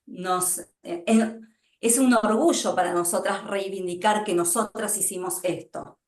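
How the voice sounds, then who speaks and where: A woman's voice: medium pitch, a little slow. A woman speaks emphatically and with animation in a conversational interview. Her voice carries the echo of a roomy space. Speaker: translator and publisher.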